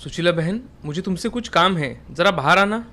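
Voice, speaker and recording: A man's voice: sits high, expressive, moderate pace, sharp tone, faint music behind. A man playing a mild, polite village father placing an order in a storybook tale. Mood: courteous. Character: mild, courteous village customer, father of a bride. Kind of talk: storybook character dialogue.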